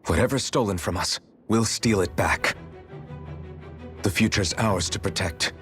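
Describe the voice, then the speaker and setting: A man's voice: low-pitched, a little slow, fairly bright tone. An actor playing a determined young male hero in a video game, speaking firmly and resolutely. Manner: firm, resolute. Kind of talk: video game cutscene acting. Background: game music.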